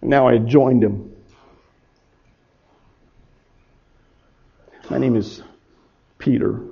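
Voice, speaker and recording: A man performing a guilt-ridden disciple confessing his betrayal in a halting, emotional dramatic biblical monologue. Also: on the high side, muffled recording. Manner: halting, emotional, swinging from hushed to shouting.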